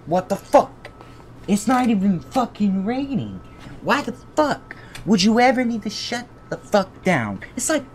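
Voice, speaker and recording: A man livestreaming a game, reading its story text aloud and commenting in an irritated, heavily profane way. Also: sits high. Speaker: video game streamer. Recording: steady background noise.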